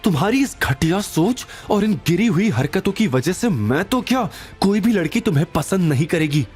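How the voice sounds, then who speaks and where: Man voicing a higher-pitched, polite young woman. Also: very animated, moderate pace, darkish tone, husky, faint music behind, exaggerated voice. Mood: angry, threatening. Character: frightened but firm young woman, polite and secretive. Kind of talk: audio drama character dialogue.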